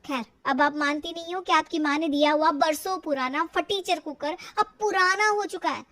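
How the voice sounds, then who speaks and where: A woman's voice: sits high, moderate pace, sharp tone. A woman voicing a young daughter-in-law in a story, polite and dutiful but firm as she explains herself. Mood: firm, fed up.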